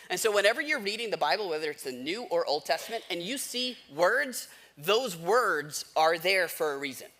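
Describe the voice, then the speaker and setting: An American man delivers a sermon in a high, bright voice with a forceful edge. He speaks conversationally as a pastor would, leaning on repeated phrases and rhetorical questions for emphasis.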